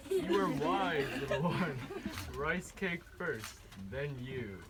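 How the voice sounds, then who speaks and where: A woman voicing a greedy, menacing monster in a storybook, with drawn-out pronouncements. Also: sits low, expressive, background noise, voice pushed down. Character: greedy, menacing monster who threatens to devour the city.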